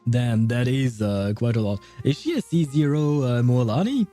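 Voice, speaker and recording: A man's voice: mid-range voice, animated, normal speed, music in the background. A man livestreaming a video game, chatting casually with viewers in a conversational, meandering way.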